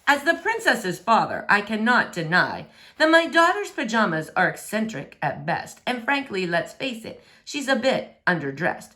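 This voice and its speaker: Woman playing indignant father king, formal, blunt, bright, lively intonation, rhyming storybook. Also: medium pitch, normal speed, few pauses, voice pushed up. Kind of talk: storybook read-aloud in rhyming verse.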